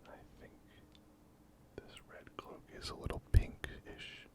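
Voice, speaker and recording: A person whispering in a breathy, unvoiced voice, narrating a hands-on craft step by step in a gentle, unhurried ASMR style. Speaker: host of a miniature painting ASMR channel. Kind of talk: ASMR, whispered painting commentary.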